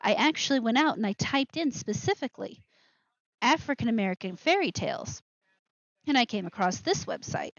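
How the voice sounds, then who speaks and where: A woman's voice: fairly high pitch, expressive, moderate pace, no echo, lo-fi sound. A woman hosting a live show with casual commentary, rambling and interrupting herself with asides.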